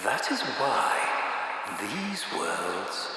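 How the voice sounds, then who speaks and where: An actor playing a contemptuous, imperious villain in a game, delivering grandiose and deliberate theatrical monologues. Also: male voice, lowish voice, huge pitch swings, roomy sound, noisy background, exaggerated voice. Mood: grand, proclaiming. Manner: grandiose, deliberate, theatrical, swinging between hush and outburst.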